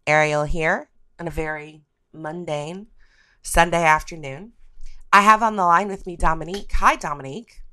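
A woman's voice: fairly low pitch, normal speed, sharp tone, no echo. A woman hosting a podcast, talking through a topic. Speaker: podcast host.

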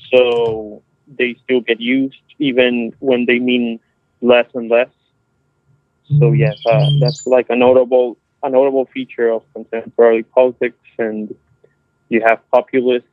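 Muffled, dry podcast guest: male, very even delivery, thoughtful discussion with halting phrasing. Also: medium pitch, no room sound. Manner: halting, searching for words. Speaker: discussion participant. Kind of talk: casual reflective discussion. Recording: dull lo-fi, dry radio-like sound.

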